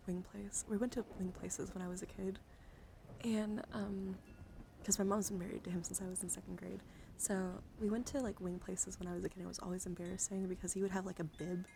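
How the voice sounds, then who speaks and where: A young adult woman livestream host speaking in a soft, breathy ASMR style, often slipping into whispers, close to the microphone. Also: lowish voice, noisy background. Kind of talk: ASMR, soft-spoken casual live chat and card reading.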